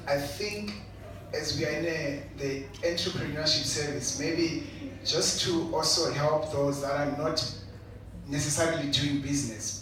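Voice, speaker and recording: A man's voice: a little high, fairly bright tone. A man from Zimbabwe speaking on an entrepreneurship panel, earnest and reflective, often adding "you know". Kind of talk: panel discussion answer. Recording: echoey hall from far off, noisy.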